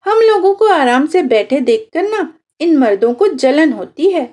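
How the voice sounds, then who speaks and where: A sardonic village housewife performed by a woman narrator. Her voice is high and lively in its swings. She teases pointedly at an unhurried, slow pace, as in a storybook. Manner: teasing, sarcastic.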